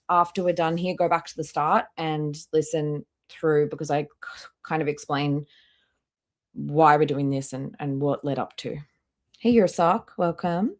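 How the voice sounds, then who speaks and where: A woman hosting a live stream with casual, blunt, critical reaction commentary and some swearing. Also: fairly low pitch.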